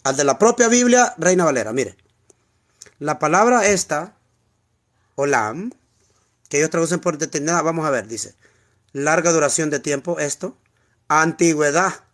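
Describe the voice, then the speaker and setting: A man's voice: a little high, expressive, normal speed, pauses often, a bit bright. A man with a Honduran Spanish accent teaching the Bible in an informal, explanatory way, repeating key words and asking rhetorical questions. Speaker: preacher teaching scripture.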